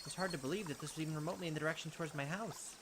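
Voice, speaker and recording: A man's voice: on the high side, racing, background noise. A man hosting a let's play, reading the game's narration aloud and adding wry comments in between. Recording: game audio with loud cricket ambience.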